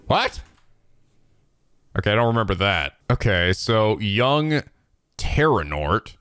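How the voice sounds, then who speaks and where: A man's voice: lowish voice, sing-song, slow pace, a bit bright, clean tone. A man hosting a let's play, giving casual reaction commentary in a sweary, incredulous, jokey way. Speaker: let's play video host. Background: game cutscene music.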